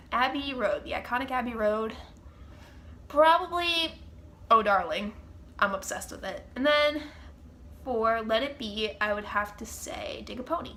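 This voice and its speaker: A woman's voice: a little high, pushed and bright, a little hiss or hum. A young woman with a congested, stuffy nose, chatting as host of her own Q&A vlog with gushing fan enthusiasm. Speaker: channel host. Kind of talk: casual Q&A vlog chat.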